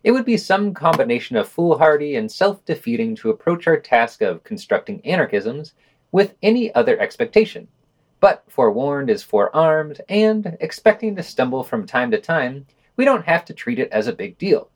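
A man's voice: on the high side, sing-song, moderate pace. A man hosting a podcast, reading scripted news items and excerpts with brief wry commentary.

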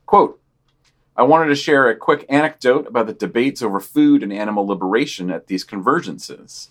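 A man co-hosting a podcast, reading quoted news items and giving deadpan, critical comments. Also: medium pitch, moderate pace. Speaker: podcast co-host.

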